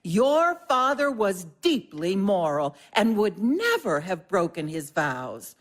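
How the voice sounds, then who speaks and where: A woman's voice: medium pitch, sing-song, slow pace. An actress playing an imperious, blunt older mother in an audio drama, haughty, dismissive and emphatic. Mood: haughty, evasive.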